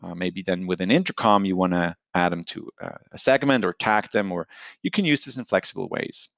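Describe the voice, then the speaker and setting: A man giving an explanatory software tutorial, talking through it step by step in a conversational, unscripted way. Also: sits low, brisk, gravelly, no echo, dull audio. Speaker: software product presenter. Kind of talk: screen-share product tutorial, explanatory.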